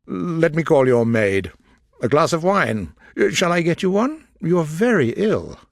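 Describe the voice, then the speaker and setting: A man reading aloud, voicing a reserved young gentleman who speaks with urgent, earnest concern. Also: on the high side, big pitch swings, measured pace, no echo, studio quality, pitched above the performer's own voice. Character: reserved young gentleman, shocked but composed.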